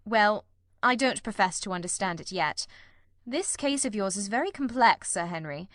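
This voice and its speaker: Woman as gentleman detective, analytical, composed, authoritative, mid pitch, storybook character, dry sound. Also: lively intonation, no room sound, lightly acted. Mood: thoughtful, grave. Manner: measured, formal, authoritative.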